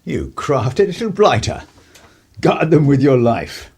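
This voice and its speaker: An urbane 1930s English politician, acted by a man in a radio drama. He has a husky, lively voice and a wry, worldly, clubbable manner with old-fashioned upper-class phrasing. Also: mid-range voice, a little slow, full character voice. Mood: wary, amused. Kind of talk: radio play acting.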